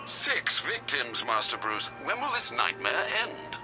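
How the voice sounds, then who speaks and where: An actor playing a dutiful, refined older butler in a video game, formal and gravely concerned. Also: male voice, mid-range voice, wildly swooping pitch, over loud music. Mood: grave dismay. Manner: formal, deferential, measured, dryly understated. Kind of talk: video game cutscene acting.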